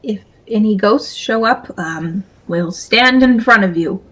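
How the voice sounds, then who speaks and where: A woman's voice: mid-range voice, a little slow, a bit bright, husky, rough recording. A woman speaking in character as a player in a tabletop role-playing game.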